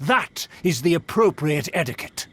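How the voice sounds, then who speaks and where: A man's voice: a little high, very animated, moderate pace, fairly bright tone, breathy edge, heavily acted. A man voicing a haughty, short-tempered nobleman in a video game, pompous and condescending in a theatrical way. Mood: indignant, condescending.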